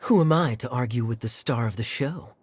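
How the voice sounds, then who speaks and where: A man's voice: mid-range voice, a little fast, slight background noise, natural voice. A man playing a suave, easygoing young character in a game cutscene, lightly teasing and obliging. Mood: obliging, playful. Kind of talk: animated game cutscene acting.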